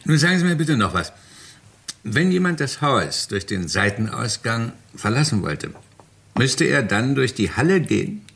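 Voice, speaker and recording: Lively, animated police inspector. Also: male voice, mid-range voice, vocal fry. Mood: genial, probing. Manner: brisk questioning with wry amusement. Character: shrewd, genial, commanding police inspector. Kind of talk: German radio crime drama acting.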